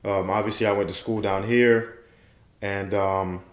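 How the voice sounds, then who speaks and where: A young man from Haiti speaks in a low voice with a relaxed, affirming manner and often repeats his points. The room echoes noticeably around him. He sounds like a guest in an easygoing podcast conversation. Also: unhurried. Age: young adult. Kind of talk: casual interview chat. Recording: roomy echo.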